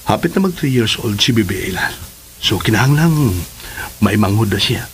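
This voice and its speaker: A man acting an affectionate, teasing young husband in a Philippine radio drama, in an expressive manner. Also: fairly low pitch, moderate pace, slight background noise, lightly acted. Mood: playful, amorous.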